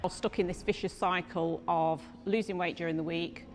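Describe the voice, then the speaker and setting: A woman in her fifties speaks briskly and matter-of-factly in an interview. Her voice sits a little low, and the room gives it a long reverb with faint hiss behind. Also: steady tone. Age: 50s. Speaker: gym member interviewee. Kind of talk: casual interview chat.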